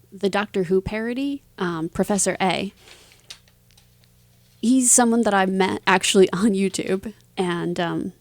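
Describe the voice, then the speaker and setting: A woman's voice: mid-range voice, darkish tone, no echo. A woman speaking as an interview guest, answering in a casual, chatty, conversational way. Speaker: interview guest, author and publisher.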